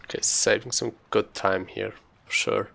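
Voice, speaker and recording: A man giving casual, low-key live commentary on his own speedrun, muttering to himself as he plays. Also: steady tone, normal speed, vocal fry, bright, forceful tone, a little hiss or hum.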